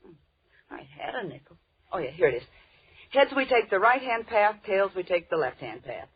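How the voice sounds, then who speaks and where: A woman's voice: medium pitch, sing-song, normal speed, breathy edge. An actress playing a bossy, impatient young woman in a 1930s radio thriller, slangy, blunt and sarcastic. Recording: muffled, hissy 1930s radio recording.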